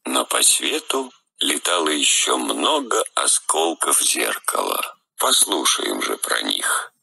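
A man reads a children's fairy tale in a very deep, gravelly voice with a lot of melodic movement. His tone is husky and often sinks to a hushed half-whisper. The recording is dry and close. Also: very animated, slow, dry recording. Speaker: narrator. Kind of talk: scripted fairy tale narration.

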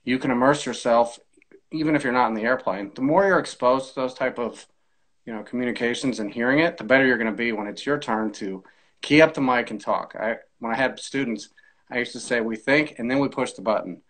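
Man, forties, medium pitch, measured explanatory delivery, conversational interview, video call. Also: a bit bright, rough recording. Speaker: air traffic controller, podcast guest. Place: small indoor room, video call.

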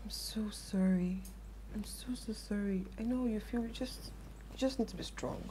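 A woman playing a caring young friend who softly comforts a heartbroken man. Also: high voice, animated, moderate pace, slight background noise. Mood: soothing. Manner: soft, soothing, partly whispered. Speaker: consoling friend.

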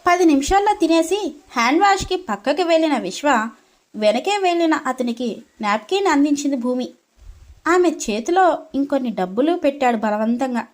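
A woman's voice: sits high, lively intonation, moderate pace. A woman storyteller reading a scripted domestic story as narrator.

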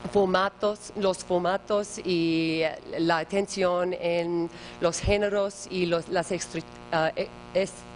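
A woman lecturing in a big auditorium in slow, halting second-language Spanish, searching for words. Her voice sits a little low, and a faint mains hum runs under the recording. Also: rough recording. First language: English. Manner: hesitant, halting non-native Spanish with many fillers. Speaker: guest lecturer. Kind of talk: academic conference talk. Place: large auditorium. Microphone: panel table microphone, far camera.

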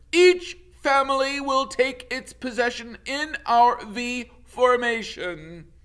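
A man's voice: very high voice, bright, forceful tone, clean tone, voice pushed up, heavily acted. A man voicing a big, commanding male goose in a storybook, making formal announcements to his flock.

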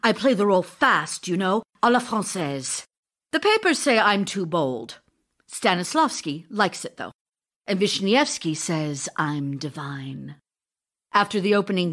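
A woman's voice: mid-range voice, fairly bright tone. A woman playing a vivacious, self-dramatizing actress wife in an audio drama, effusive and teasing, devoted yet restless. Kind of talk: audio drama acting, reading love letters.

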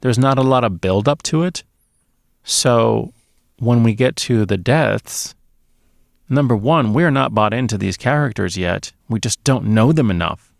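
A man's voice: mid-range voice, animated, a little slow, vocal fry, no room sound. A middle-aged man co-hosting a movie podcast, giving casual opinions close to a studio microphone. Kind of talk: casual opinionated film chat. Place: small dark studio booth. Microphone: close podcast mic on boom arm.

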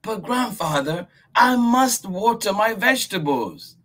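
A man's voice: high-pitched, lively intonation, unhurried, a bit bright, voice pushed up, heavily acted. A man voicing an eager, busy little girl who keeps pleading politely to stay up.

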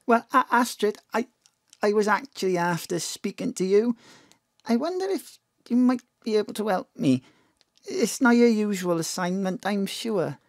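A woman's voice: medium pitch, animated, normal speed, no room sound, studio quality, close to an everyday voice. A woman playing a polite, hesitant, old-fashioned mother in her thirties, dignified in grief, in an audio drama. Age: 30s.

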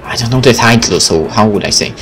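Low-voiced man doing a game playthrough. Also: animated, fairly quick. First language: Polish. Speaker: gaming video host. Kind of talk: game let's play, reading text aloud with casual commentary. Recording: faint game music behind.

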